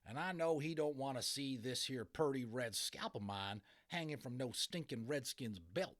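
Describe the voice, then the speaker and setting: A man's voice: medium pitch, expressive, normal speed, fairly bright tone, voice pushed up, lightly acted. A man voicing a tiny, grumbling cowboy with a drawling Old West American accent in a dramatic storybook reading. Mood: blustering challenge. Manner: folksy, drawling, combative. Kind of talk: dramatic audiobook character reading.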